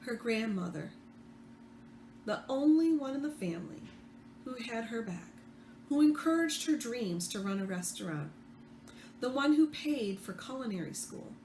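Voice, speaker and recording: A woman reading fiction aloud as the author, expressive, with the odd stumble. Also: a little high, a little slow, long pauses. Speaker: author reading own book. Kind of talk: read-aloud fiction narration. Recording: roomy echo, faint background noise.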